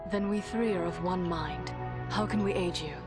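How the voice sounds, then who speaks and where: A woman playing a solemn, determined warrior companion in a fantasy game, speaking earnestly and deliberately. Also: fairly low pitch, natural voice. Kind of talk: dramatic fantasy game cutscene acting. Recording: loud music over the dialogue.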